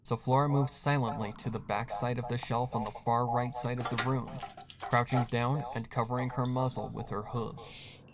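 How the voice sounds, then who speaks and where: A man's voice: medium pitch, fairly even intonation, moderate pace. A man reading a scripted horror story as the narrator. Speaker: fan fiction narrator.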